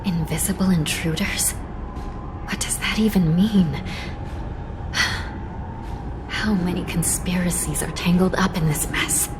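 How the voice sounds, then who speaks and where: Low-voiced, weary woman judge, acted by a woman. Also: steady tone, a little slow, long pauses, noisy background, lightly acted. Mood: grave, concerned. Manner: resigned, serious, sighing. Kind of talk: dramatic video game dialogue. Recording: loud music behind the voice.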